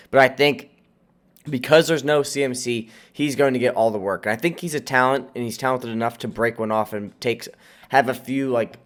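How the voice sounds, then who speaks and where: A man's voice: medium pitch, a bit bright. An American man with a nasal, congested voice, sick as he hosts, giving casual, emphatic fantasy football advice to viewers. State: sick with a cold. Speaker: host of a fantasy football channel.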